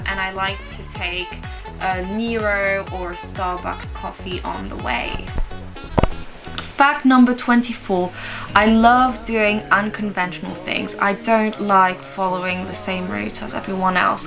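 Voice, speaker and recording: A woman in her twenties, raised in France, earnestly reading out numbered facts about herself as a vlogger. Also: medium pitch. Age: 20s. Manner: earnest, measured, announces each numbered fact. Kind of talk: reading a list of personal facts. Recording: voice-over with clear background music. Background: background music.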